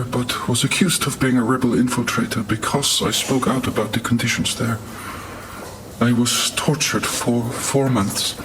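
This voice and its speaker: An actor as an embittered torture survivor, a man who once managed a mine, testifying in an audio drama. He speaks starkly and deliberately at a middle pitch, with noisy ambience clearly behind him. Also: natural voice.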